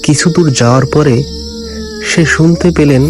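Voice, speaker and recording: A man's voice: on the high side, moderate pace. Male ghost story narrator over music.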